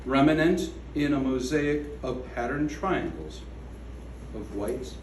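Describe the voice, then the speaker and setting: A man reading his own poem aloud at a gallery event, slow and measured, with falling line endings. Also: mid-range voice, lively intonation, noisy background. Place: art gallery room. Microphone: away from the microphone. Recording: echoey room from a distance.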